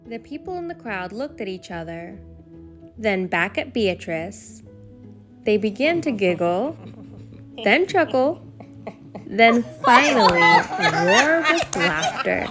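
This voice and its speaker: A woman reading a children's bedtime story aloud in an expressive, deliberate storytelling manner. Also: a little high. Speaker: storybook reader.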